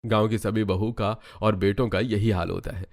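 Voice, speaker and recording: A man's voice: lowish voice, steady tone, moderate pace, a little mellow. A man narrating a scripted cartoon story.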